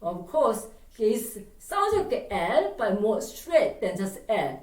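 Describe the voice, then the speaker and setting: A middle-aged woman with a Korean accent, teaching pronunciation in English and repeating words slowly so the student can copy them. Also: medium pitch, sing-song, a little mellow. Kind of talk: lively pronunciation lesson. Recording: roomy sound from a distant mic.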